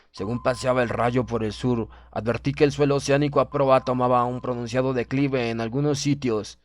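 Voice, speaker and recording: A male audiobook narrator reads a horror tale in a formal, measured way with a dramatic touch. His pitch is medium, and music plays behind the reading. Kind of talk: scripted horror story narration, first person.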